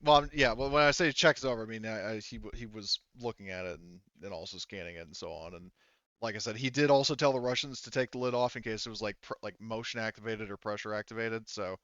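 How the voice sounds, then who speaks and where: A man's voice: fairly low pitch, fast, fairly bright tone, no room sound, highs cut off. A man working as game master, improvising tabletop narration piece by piece, with bits of character dialogue.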